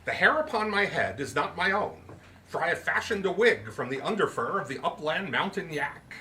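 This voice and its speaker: Man acting out proud stiff merchant, formal, declamatory, raised pitch, bright, comic storybook. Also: very animated, normal speed, far from the mic, a little hiss or hum, low-quality recording. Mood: with a flourish. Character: stiff, proud gentleman furniture merchant making solemn declarations.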